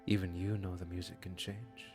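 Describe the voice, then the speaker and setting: A man's voice: low-pitched, level tone, moderate pace, over music, lightly acted. Man acting a tender, flirtatious musician. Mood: tender, earnest. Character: charming musician, tender and guarded lover. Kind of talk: intimate audio drama dialogue.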